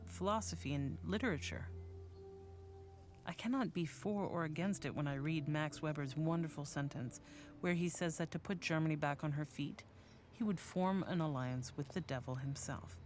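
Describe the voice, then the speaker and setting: A woman reading letters as an earnest young woman philosopher, measured and formal. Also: deep voice, darkish tone, soft background music, natural voice. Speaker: reader of letters in a documentary.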